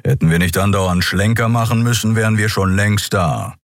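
A man voicing a maned beast-man at the helm, speaking in a low, grumbling, calm way. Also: normal speed, dry recording, studio quality, close to an everyday voice. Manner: gruff, grumbling.

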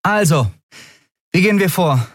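Man voicing a young woman captain, brisk, wry, lively pitch, dry close sound. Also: no echo.

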